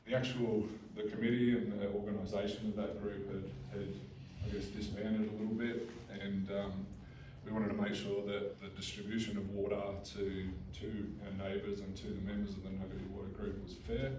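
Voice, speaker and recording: A booming Australian man giving a community talk, with plenty of ums and restarts. His voice is low and mellow, and his pitch stays flat. He is picked up from far off in a roomy, echoing hall with a faint hum behind him. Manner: halting, many fillers and restarts. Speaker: mining company representative. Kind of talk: community information session presentation. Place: public meeting hall. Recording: roomy hall recorded from a distance.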